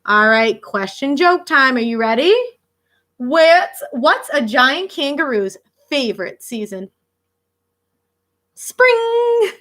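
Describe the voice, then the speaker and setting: Animated, high-voiced woman reading to children. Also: slow pace. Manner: expressive, emphatic, addressing children directly with questions and reactions. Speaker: elementary school teacher reading aloud to children. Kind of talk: children's read-aloud lesson with asides to the listeners.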